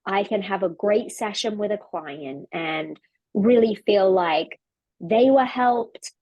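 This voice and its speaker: A woman's voice: mid-range voice, slow, darkish tone, dry recording, highs cut off. Earnest English woman, dry close sound. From London. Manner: earnest, reflective, repeats and restarts phrases. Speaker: podcast guest, leadership coach. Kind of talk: casual conversation.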